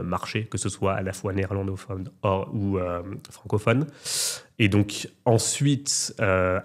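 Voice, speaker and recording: A man who represents a company in France, explaining its markets with confidence in a business interview. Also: lowish voice.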